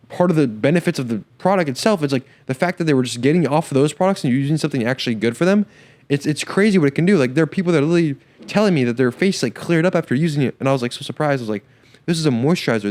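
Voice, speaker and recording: A man's voice: mid-range voice, a little mellow. A young man hosting a solo podcast, talking casually and enthusiastically with lots of slang fillers and a slight stutter. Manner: enthusiastic, filler-heavy slang with frequent repeats. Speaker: podcast host.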